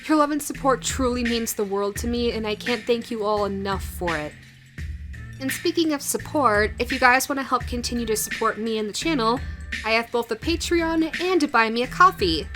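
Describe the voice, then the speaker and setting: A woman's voice: on the high side, animated, moderate pace, over music. A woman who hosts an audio roleplay channel, talking casually and directly to her viewers.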